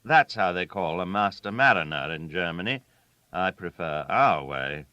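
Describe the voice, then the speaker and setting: A man narrating a literary story aloud in a theatrical, deliberate way, with dramatic pauses. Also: sits low, animated, bright, forceful tone, dry recording, highs cut off. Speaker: audiobook reader.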